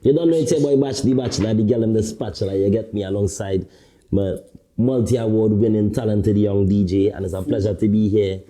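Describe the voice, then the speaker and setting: A man with a low, very dark voice, talking candidly as a podcast guest. He speaks in loose street slang, restarting his sentences and checking in with "you get me" as he goes. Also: fairly even intonation, fairly quick. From Caribbean. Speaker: guest, artist turned talent manager. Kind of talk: casual podcast interview.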